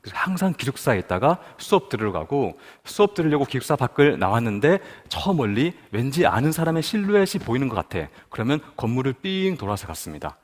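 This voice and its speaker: A man's voice: medium pitch, sing-song, fast, hardly any pauses, in a large hall. A man from Busan giving a personal testimony at a prayer meeting, telling his story and asking the audience questions. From Busan, South Korea. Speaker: guest speaker at a prayer meeting.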